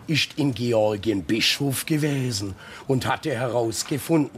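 An actor playing an enthusiastic, pedantic town archivist, lecturing excitedly in a radio play. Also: male voice, mid-range voice, slight background noise, natural voice. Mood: eager lecturing.

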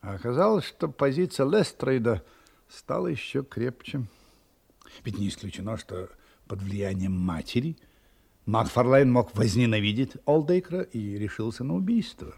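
An actor playing a shrewd, ironically polite detective in an audio drama, questioning briskly with wry murmurs. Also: male voice, medium pitch, animated, creaky, a little mellow, breathy edge. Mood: troubled, brooding. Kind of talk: Russian audio drama acting.

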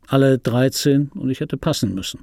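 An actor playing a middle-aged film dubbing actor in a radio play, reminiscing in a wry, pedantic, digressive way with a gravelly, husky voice. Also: male voice, medium pitch, moderate pace, mellow, no room sound, clean studio sound, close to an everyday voice. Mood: aggrieved, wry. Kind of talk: radio play monologue, confiding first-person reminiscence. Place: dry radio drama studio.

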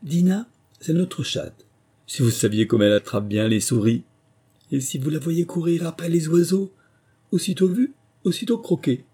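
A man's voice: on the high side, moderate pace, mellow, slightly rough, voice pushed up. A man voicing a polite, naive little girl in a storybook, earnest and eager to please. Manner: earnest, conciliatory.

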